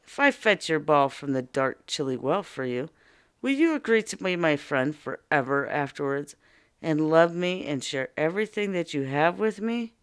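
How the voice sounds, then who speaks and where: A woman voicing a timid, lonely male frog with a scratchy voice in a read-aloud fairy tale, speaking slowly and politely. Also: low voice, expressive, clear, resonant tone.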